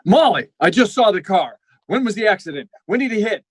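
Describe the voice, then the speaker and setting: A man's voice: high voice, lively intonation, a little fast, a little hiss or hum. A man playing a fussy, sardonic doctor in old-time radio comedy, trading mock insults with emphatic delivery. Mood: mock alarm. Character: sardonic, fussy male doctor, a needling family friend. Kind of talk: classic 1940s radio comedy acting.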